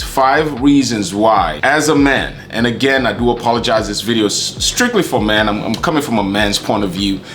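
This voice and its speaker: A man's voice: medium pitch, fairly bright tone, slight background noise. A man from Kenya giving a casual, conversational monologue as host of his channel, often repeating words and restarting sentences. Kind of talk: casual talking-head monologue.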